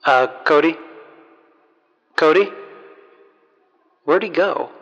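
A man's voice: fairly high pitch, slow pace, vocal fry, fairly bright tone, muffled recording, heavily acted. A man playing an unbothered studio producer with casual, slightly hesitant talk. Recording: long hall reverb.

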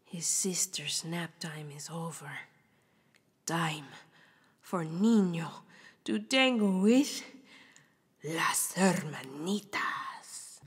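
A woman's voice: deep voice, animated, extremely slow, pauses often, breathy edge, big-room sound. A woman storyteller reading a children's picture book aloud in a theatrical way, moving between whispers and exclamations.

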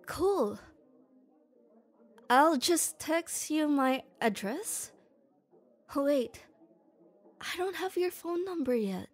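A woman's voice: high-pitched, expressive, very slow, pauses often. A young woman in an ASMR roleplay, playing a shy tomboy who talks hesitantly and stammers, close to the mic. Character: shy tomboy girl, gruff but kind. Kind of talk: ASMR roleplay, speaking to the listener.

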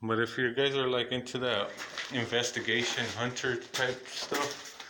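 A man with a mid-range voice rambles through a walkthrough of a dark abandoned building, pointing and repeating himself. It is filmed on a handheld phone. The track carries clear background noise and clicking. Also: medium pitch, little pitch movement, a little slow. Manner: rambling, repetitive pointing out spots. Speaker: urban explorer vlogger. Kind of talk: casual walkthrough narration. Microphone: handheld phone camera.

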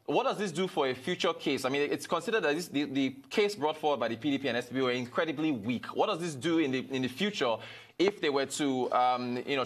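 Halting male news anchor, mid-pitched. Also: fairly bright tone, breathy edge. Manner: halting, repeats words as he questions. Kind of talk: live news interviewing. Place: TV studio.

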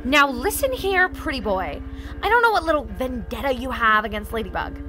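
A woman playing a smug, scheming teen villainess who boasts and threatens in a high, swooping voice. The delivery is comic-dub acting. Faint music plays beneath her. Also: very animated, normal speed, sharp tone, heavily acted.

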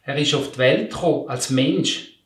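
A man, a youth pastor, giving a calm, deliberate Christmas message and reading scripture. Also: mid-range voice. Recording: echoey room, mic at a distance.

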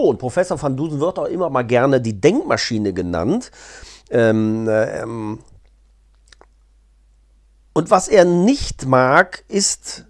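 A man talks off the cuff to his viewers. His pitch moves around a lot, in a middle register. He is colloquial and chatty, dropping in wry asides. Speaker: vlogger and audio drama reviewer. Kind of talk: casual unscripted explainer to camera. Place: living room, seated on a sofa indoors. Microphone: clip-on lapel mic.

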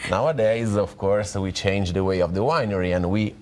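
Low-voiced Italian man, halting English. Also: slight background noise. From Italy. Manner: hesitant, many filler pauses, playful joking. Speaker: winemaker guest. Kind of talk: TV interview.

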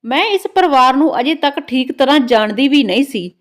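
A woman's voice: high-pitched, expressive, brisk. A woman narrating a scripted first-person story in a dramatic storytelling manner.

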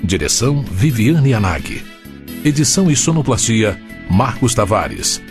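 A man's voice: medium pitch, very animated, unhurried. A man with a Brazilian accent reading scripted announcements for a radio programme. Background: music.

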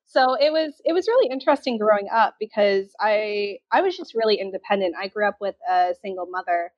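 A woman's voice: medium pitch, expressive, fairly quick, dull audio. A woman from Southern Ontario with a Canadian accent, chatting casually as an interview guest. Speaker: podcast guest.

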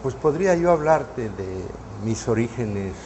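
A man in his seventies from Mexico, reminiscing in an interview in his studio, unhurried and full of hesitant fillers. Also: medium pitch, animated, darkish tone, a little hiss or hum, rough recording. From northern Mexico. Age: 70s. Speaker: painter interviewee. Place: artist's studio, indoors. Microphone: lapel mic.

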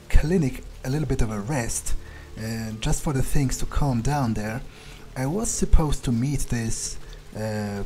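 A man narrating a video game playthrough in character, casual and wry, swearing often and filling pauses with ums and uhs. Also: mid-range voice, mellow. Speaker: gamer roleplaying his character.

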